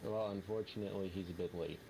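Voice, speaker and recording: Deep-voiced man making dry gaming quips. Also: steady tone, quick pace, creaky. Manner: dry, wry remarks. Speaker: co-player. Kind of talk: casual multiplayer gaming chat. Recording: noisy game capture.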